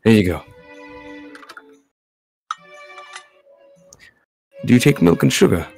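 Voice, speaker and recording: A man's voice: medium pitch, sing-song, very fast, gravelly, darkish tone. A man voicing a polite, dutiful catboy butler with formal manners in a visual novel fan dub. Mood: courteous. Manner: formal, courteous. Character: polite, dutiful male catboy butler, soft-spoken night owl. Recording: game music behind the voice.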